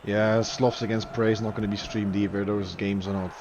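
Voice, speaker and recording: Young guy commentating an online football match, lowish voice, muffled lo-fi stream audio w faint hiss. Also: little pitch movement, brisk. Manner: chatty, rises sharply on goals and saves, fillers and restarts. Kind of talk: live play-by-play commentary.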